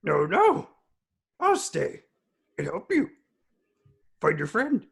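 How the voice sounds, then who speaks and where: A raspy, impish little old alien creature in an amateur film-script read. The voice leaps wildly in pitch, speaking slowly in odd backwards phrases with playful, eccentric glee. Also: female voice, wildly swooping pitch, heavily acted. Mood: excited, coaxing. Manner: inverted word order, short repeated exclamations.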